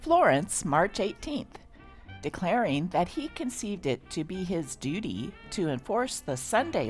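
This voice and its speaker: A woman hosting and reading old newspaper articles aloud in a scripted narration style. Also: on the low side.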